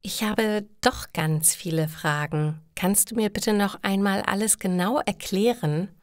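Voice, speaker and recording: A woman voicing an eager, polite eighteen-year-old daughter in slow, simple storybook dialogue. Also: mid-range voice, no echo, clean studio sound, lightly acted.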